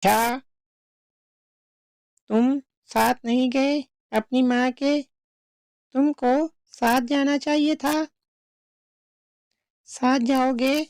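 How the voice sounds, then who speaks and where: A woman voicing a sly, smooth-talking male crow with a nosy, falsely friendly manner in a cartoon story. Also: sits high, steady tone, extremely slow, pauses often, a little mellow, no room sound, studio quality, full character voice. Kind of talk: slow cartoon story dialogue.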